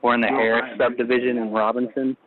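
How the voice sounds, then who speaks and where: A man's voice: mid-range voice, flat delivery, quick pace, a crowd in the background. Man calling in over a narrow phone line. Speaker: emergency caller. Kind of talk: hesitant phone report. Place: on a phone call to dispatch. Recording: muffled narrowband phone call.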